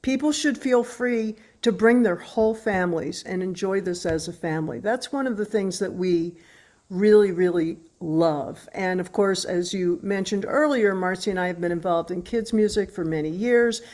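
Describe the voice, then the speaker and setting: An older woman with an American accent talks as a musician being interviewed. Her voice sits at a middle pitch, and her delivery is conversational and informal. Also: normal speed, darkish tone. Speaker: musician, guest. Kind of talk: casual interview talk.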